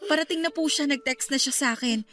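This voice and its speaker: A woman playing a gentle, reassuring young woman in a Filipino radio drama, with a Filipino accent. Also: sits high, fast talker. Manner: calming, considerate. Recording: music under the voice.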